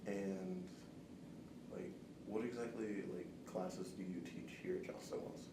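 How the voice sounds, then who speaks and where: A man's voice: deep voice, fairly even intonation, a little slow. A man asking questions as an interviewer in a casual, informal conversational way. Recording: distant, roomy, noisy recording.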